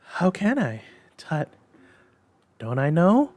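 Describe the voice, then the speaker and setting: A man's voice: a little high, wildly swooping pitch, slow pace, a little mellow, no room sound, voice pushed up, exaggerated voice. A man voicing an affectionate, playful wife and mother in a dramatic storybook reading. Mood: playful, curious.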